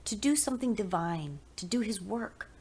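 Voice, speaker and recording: A woman voicing a devout, excited and determined wife in an audio story, speaking with insistent enthusiasm. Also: mid-range voice, normal speed, slightly rough, pitched above the performer's own voice.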